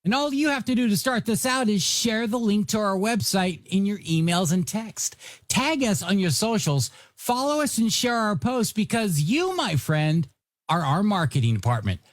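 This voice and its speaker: A radio DJ host with a playful, showy delivery, presenting in energetic music-radio patter. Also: medium pitch, moderate pace, dry recording, clean studio sound. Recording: music bed behind voice.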